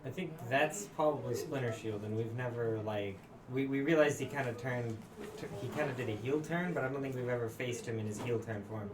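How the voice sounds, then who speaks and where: Easygoing male player, mid pitch, crowd chatter behind. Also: a little fast. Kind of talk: casual tabletop chat.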